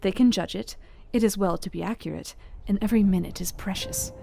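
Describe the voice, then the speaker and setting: An actress playing an earnest young Victorian wife, reading her journal with precise, deliberate diction in a Gothic audio drama. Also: medium pitch, gravelly, dark tone, a little hiss or hum, natural voice. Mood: determined. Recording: music behind the voice. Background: instrumental music.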